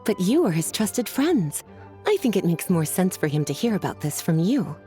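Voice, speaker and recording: A woman's voice: lowish voice, sing-song, normal speed, dark tone, soft background music. An actress playing a languid, flirtatious female mentor who calls others cuties and sweetie. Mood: teasing. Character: sultry, teasing female mentor and librarian.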